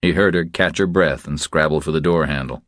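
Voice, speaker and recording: A man narrates a thriller audiobook in an extremely deep voice. The recording is dry and close, with no room sound around the scripted reading. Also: brisk, fairly bright tone, no echo.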